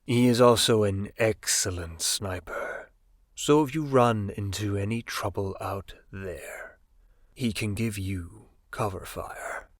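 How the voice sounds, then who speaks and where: An actor playing a formal robot councillor in an audio drama. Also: male voice, fairly low pitch, slow pace, no echo, studio quality.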